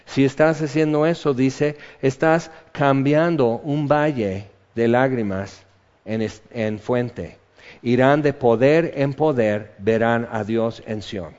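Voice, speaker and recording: A man's voice: mid-range voice, slow pace, a little mellow. A man preaching a sermon in a conversational way, reading psalm verses aloud. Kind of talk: sermon, Bible teaching. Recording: dull reverberant hall recording.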